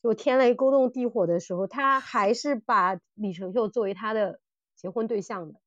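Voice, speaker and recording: A woman co-hosting a casual podcast chat, telling stories in an animated way and often repeating her phrases. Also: a little high, normal speed, no room sound, lo-fi sound. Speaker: podcast co-host. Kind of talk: casual chat about audio dramas.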